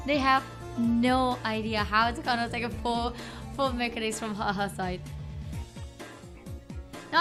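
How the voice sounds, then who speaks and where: A woman's voice: high voice, sharp tone. A woman with an Indonesian accent commentates a game live, chatting playfully and informally with viewers and often repeating her phrases. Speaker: esports livestream caster. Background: music.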